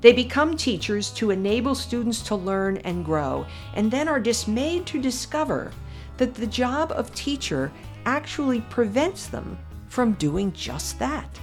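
A woman commentator reading her own essay aloud in a measured, deliberate way with emphatic pauses. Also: fairly high pitch, very animated, soft background music. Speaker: podcast commentator.

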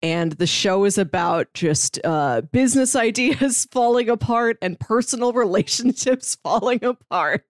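A woman's voice: fairly high pitch, expressive, measured pace, few pauses, dry recording. Female podcast co-host, casual recap delivery, light laughter, dry sound. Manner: summarizes plots with light laughs. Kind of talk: casual review chat.

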